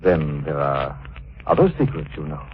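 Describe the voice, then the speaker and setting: An actor playing a suave, composed young gentleman sleuth, polite and measured, in a 1940s radio drama. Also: rumbling low, animated. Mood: calm, explaining. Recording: muffled, slightly noisy 1940s radio broadcast.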